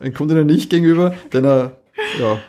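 A man's voice: fairly high pitch, fairly quick, warm tone. A man with an Austrian accent, co-hosting a podcast and reviewing in casual chat, amused and colloquial, often repeating words for emphasis.

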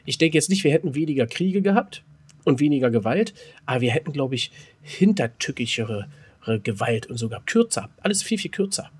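A man's voice: mid-range voice, lively intonation, fairly quick, breathy edge. A German man co-hosting a podcast in casual, unscripted banter, speaking in a blunt, colloquial way with crude slang. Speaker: podcast co-host.

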